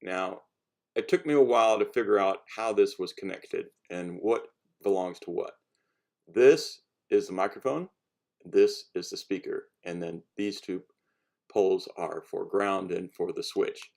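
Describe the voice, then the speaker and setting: Guy w a lowish voice rambling thru his diy costume gear demo, kinda hesitant, restarts a lot. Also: a little slow, creaky, darkish tone. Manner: rambling, hesitant, self-interrupting. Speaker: hobbyist demonstrating homemade cosplay gear. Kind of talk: casual how-to vlog talk.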